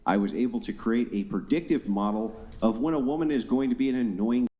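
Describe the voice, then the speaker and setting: A man's voice: medium pitch, a little fast, lo-fi sound. A man in his forties hosting a live debate show, sarcastic and needling, talking over the other side. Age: 40s.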